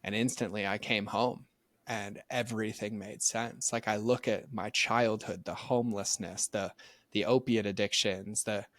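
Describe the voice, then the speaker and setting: Earnest low-voiced man, dry sound. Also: moderate pace, dry recording. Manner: earnest, animated, many "like" fillers. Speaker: podcast guest. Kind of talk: casual faith conversation.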